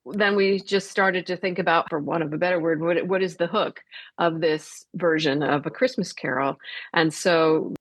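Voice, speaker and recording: A woman's voice: fairly low pitch, fairly even intonation, normal speed, dry recording, poor audio. A woman speaking as a theater director and guest in a conversational radio interview.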